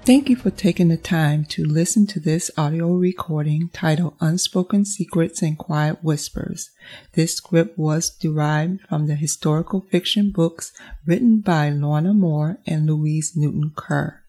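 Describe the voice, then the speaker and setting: A woman's voice: deep voice, a little slow, warm tone. A woman reading a scripted closing announcement for an audio recording.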